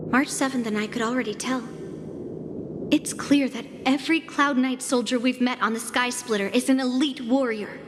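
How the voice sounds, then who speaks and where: A woman's voice: a little high, steady tone, moderate pace, slight background noise, lightly acted. A woman voicing a confident young swordswoman in a video game, speaking with youthful, composed command. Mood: businesslike. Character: confident young swordswoman, mentor to a nervous girl. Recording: long hall reverb over game music.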